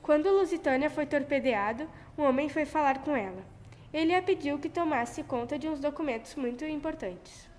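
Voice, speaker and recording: A young teenage girl reading scripted narration for an amateur radio drama. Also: high-pitched. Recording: noisy amateur school recording.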